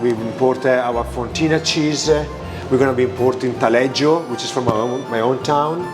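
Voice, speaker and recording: A man's voice: medium pitch, normal speed, music in the background. A man from Italy with an Italian accent, a restaurant owner answering interview questions with many fillers and restarts.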